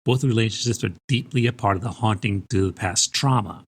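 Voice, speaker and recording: A man's voice: lowish voice, a little fast, breathy edge. A man co-hosting a podcast, talking conversationally about books. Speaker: book podcast co-host. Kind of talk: conversational podcast book talk.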